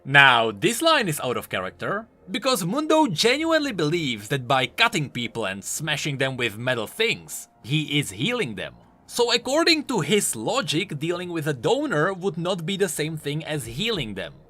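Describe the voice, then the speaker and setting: A man narrates game lore commentary as a video host. His voice is bright and fairly high, with extremely animated, emphatic swings in pitch. Music runs underneath. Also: moderate pace, hardly any pauses. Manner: emphatic, swooping delivery. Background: background music.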